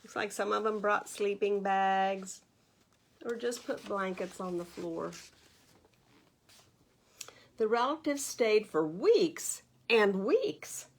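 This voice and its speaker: A woman with a medium-pitched voice reads a children's picture book aloud. She is expressive in the manner of a library storytime host, slipping between the story and gentle, chatty questions for young listeners. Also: slow, pauses often.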